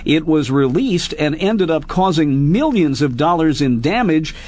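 A man's voice: fairly high pitch, expressive, unhurried, a little mellow. A middle-aged man hosting a radio feature, narrating and interviewing as a journalist.

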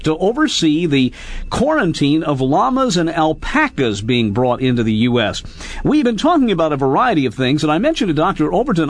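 A middle-aged man hosting a radio feature, narrating and interviewing as a journalist. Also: on the high side.

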